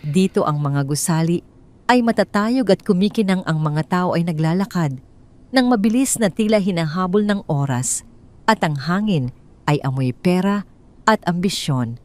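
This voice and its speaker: Cold, measured woman storyteller, low-pitched. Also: lively intonation. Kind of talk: scripted first-person drama narration.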